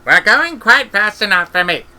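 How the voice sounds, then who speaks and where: A man voicing a stern, snappish old witch granny with a brisk, scolding manner in a storybook reading. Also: high voice, sing-song, pushed and bright, voice pushed up, exaggerated voice. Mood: irritated.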